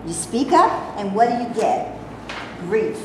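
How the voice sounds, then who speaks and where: A woman playing a weary, sharp-tongued actress with a folksy, emphatic delivery in a staged play reading. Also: medium pitch, very animated, unhurried. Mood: weary, skeptical. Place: indoor room, live staged reading. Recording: echoey room, hissy amateur video.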